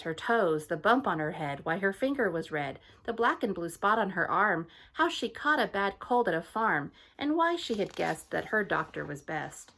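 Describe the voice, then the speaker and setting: A teacher reading a picture book aloud to her students in a gentle, friendly storytelling manner. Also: medium pitch.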